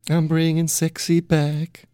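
A man's voice: fairly high pitch, monotone, slow, warm tone, clear, resonant tone. A man co-hosting a podcast, dropping short, casual, laid-back remarks into the banter. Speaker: podcast co-host.

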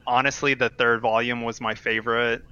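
A man's voice: mid-range voice, flat delivery, a little fast, pushed and bright, clear, resonant tone, no echo, dull audio. A man co-hosting a podcast, chatting casually and giving opinions in a hedging, conversational way. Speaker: podcast host. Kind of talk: casual discussion of manga.

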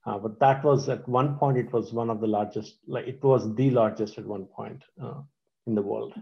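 Male guest from India, gravelly, halting answers, dull lo-fi line. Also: lowish voice, steady tone. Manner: hesitant, many fillers, soft trailing endings. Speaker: guest, company founder. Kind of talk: casual interview answers. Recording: dull lo-fi sound.

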